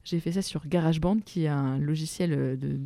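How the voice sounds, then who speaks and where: A woman's voice: low-pitched, brisk, dark tone, dry recording, clean studio sound. A woman musician and playwright answering interview questions casually, hesitant with many fillers and restarts, laughing often.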